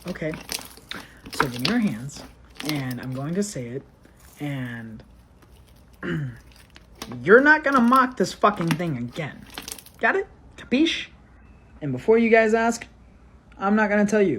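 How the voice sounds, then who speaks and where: Low-voiced woman telling a blunt story. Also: sing-song, a little slow, long pauses, slight background noise. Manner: blunt, sweary, exasperated. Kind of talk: casual first-person storytime, acting out dialogue.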